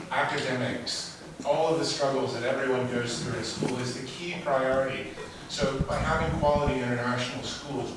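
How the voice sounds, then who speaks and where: A man's voice: mid-range voice, noisy background. Man hosting in an echoey hall. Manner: halting, restarts often. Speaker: event host. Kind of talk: event hosting remarks. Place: large event hall. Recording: echoey hall mic, far off.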